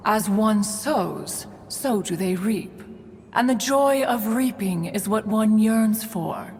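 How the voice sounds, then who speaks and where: A woman's voice: medium pitch, lively intonation, slow, darkish tone, long reverb tail. A woman voicing a dignified ancient female crane adeptus in a game, speaking formally and referring to herself as "one". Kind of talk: game voice acting.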